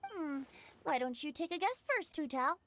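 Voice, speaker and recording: A woman's voice: sits high, very animated, normal speed, fairly bright tone, a little hiss or hum. A woman playing a youthful, carefree male bard in an anime game, with a lighthearted, lyrical, teasing manner. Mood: teasing, easygoing.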